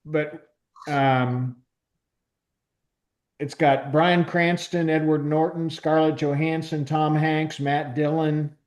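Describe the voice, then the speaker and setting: Higher-pitched man in casual group chat. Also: level tone, slow pace, a little mellow. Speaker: film club member. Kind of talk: casual group discussion, informal film recommendation.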